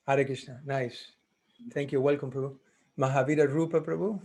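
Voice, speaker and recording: A man teaching a class on a video call, taking roll in a friendly, halting way, thinking aloud as he goes. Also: medium pitch, steady tone, gravelly, a little mellow.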